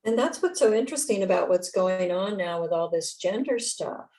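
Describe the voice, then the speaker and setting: A woman's voice: lowish voice, animated, normal speed, warm tone. A woman in a study group, sharing musing, conversational comments.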